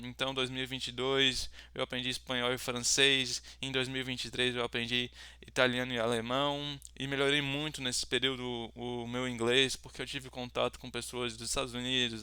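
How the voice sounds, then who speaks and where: A man's voice: medium pitch, bright, forceful tone, clean tone, no room sound. A young Brazilian man with a Brazilian Portuguese accent, talking casually about teaching languages, rambling with many fillers and restarts. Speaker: language tutor. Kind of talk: casual self-introduction to camera.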